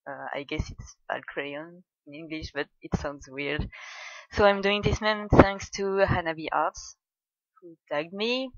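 A woman's voice: sits low, unhurried, no room sound. Shy young Frenchwoman with a French accent. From France. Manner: awkward, shy, laughing at herself. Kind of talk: casual voice meme, reading a word list. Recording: dry, dull lo-fi home mic.

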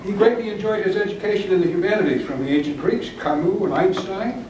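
A man's voice: high-pitched, quick pace. An older man, a professor emeritus, introducing a speaker in a large lecture room with light joking asides. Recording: roomy, distant, dull, noisy camera audio.

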